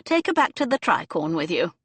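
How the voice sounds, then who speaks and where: A woman narrator voicing an elderly aristocratic dowager duchess who speaks in a lofty, brisk, upper-class manner. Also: fairly low pitch, big pitch swings, fairly bright tone, breathy edge, no room sound, heavily acted. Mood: decisive.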